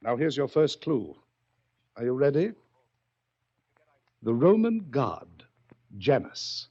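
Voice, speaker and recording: An actor playing a wealthy, smugly menacing yacht owner in a 1940s radio drama, taunting with theatrical pauses and drawn-out words. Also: male voice, medium pitch, very animated, mellow. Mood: commanding, menacing. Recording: muffled old-time radio recording.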